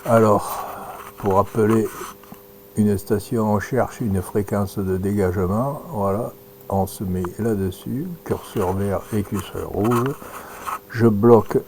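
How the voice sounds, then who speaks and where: A man giving an informal live demonstration as an amateur radio operator, halting and thinking aloud as he works. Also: on the low side, fairly even intonation. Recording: noisy recording with audible hum.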